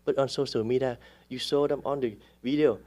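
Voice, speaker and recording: A man, a real estate agent speaking as a guest in a casual interview, who talks haltingly and often pauses to search for words. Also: mid-range voice, fairly even intonation, dark tone.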